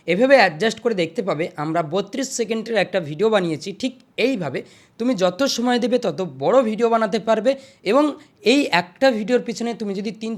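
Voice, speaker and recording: A tutorial host narrating screen steps in an instructional way, repeating each step before moving to the next. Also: medium pitch.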